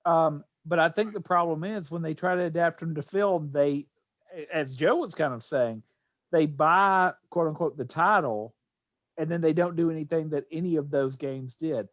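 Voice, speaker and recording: A man chats casually as a co-host on a panel podcast. His voice sits a bit high for a man, recorded very dry with no room around it. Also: normal speed, dry recording.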